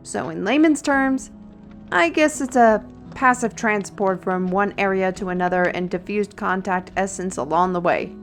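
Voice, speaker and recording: A woman's voice: medium pitch, normal speed, soft background music, lightly acted. A woman playing a wry, learned artisan steward in a fantasy audio roleplay, talking conversationally and instructively to the listener. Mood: explaining, brisk.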